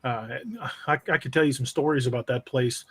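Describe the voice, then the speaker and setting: Mid-pitched American man, dry close sound. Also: steady tone, brisk, slightly rough, no room sound. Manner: informal, conversational. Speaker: podcast host. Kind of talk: casual livestream chat.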